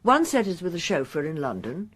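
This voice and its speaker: An actress playing an efficient upper-class wife in a radio crime drama, precise and a little haughty. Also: female voice, on the low side, big pitch swings, brisk, slightly rough. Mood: precise, guarded. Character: efficient upper-class titled English wife, slightly indignant.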